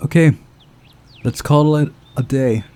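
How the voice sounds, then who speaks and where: A man's voice: a little high, slow pace, mellow, clean tone, voice pushed up. A man voicing an exasperated, bossy woman film director in a visual novel, scolding and weary.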